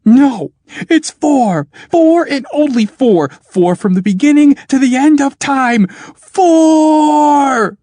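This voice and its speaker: A man voicing a timid male inventor who speaks softly, then shouts hoarsely in defiance. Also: medium pitch, big pitch swings, moderate pace, pitched above the performer's own voice. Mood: enraged. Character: timid male constructor turning stubbornly defiant.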